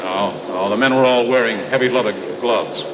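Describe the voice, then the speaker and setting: An actor playing a grave, domineering mine boss in a 1950s radio drama, formal and emphatic. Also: male voice, mid-range voice, brisk, background noise, natural voice. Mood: grave insistence. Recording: muffled vintage radio recording.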